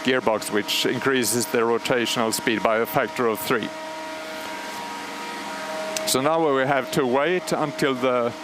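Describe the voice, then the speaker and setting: Swedish man explaining machinery over noise. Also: medium pitch. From Sweden. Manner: measured, explanatory, frequent pauses and fillers. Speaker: superintendent of a historic radio transmitter start-up. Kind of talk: live technical commentary. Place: large machine hall at a radio station. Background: humming machinery and alarm bells.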